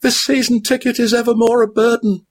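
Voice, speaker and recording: A man doing a high whiny hobbit. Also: level tone, fairly quick. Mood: weary. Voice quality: high strained squeak. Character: anxious young male hobbit, whiny.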